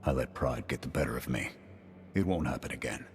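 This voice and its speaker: A stern game soldier, very low in pitch yet strongly inflected. He is gruff and proud, clipped in delivery, in a reverberant hall. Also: animated, brisk, slight background noise. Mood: contrite, formal. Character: proud, dutiful, stern military squad major.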